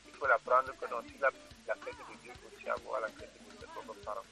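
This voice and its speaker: A man's voice: medium pitch, flat delivery, fairly quick, creaky, pushed and bright, soft background music. A man on a radio talk show, speaking earnestly about raising children. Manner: earnest, moralizing. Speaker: guest.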